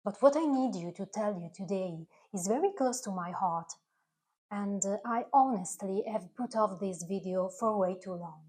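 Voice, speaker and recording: A woman's voice: mid-range voice, darkish tone, rough recording. Earnest Italian woman speaking English. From Italy. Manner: earnest, careful, slightly halting non-native phrasing. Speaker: food channel creator. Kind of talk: speaking directly to camera.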